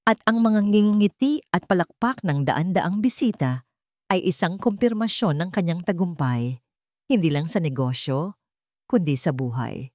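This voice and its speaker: A woman's voice: fairly low pitch, lively intonation, a little slow, mellow, dry recording, studio quality. A woman reading a scripted dramatic story as its narrator.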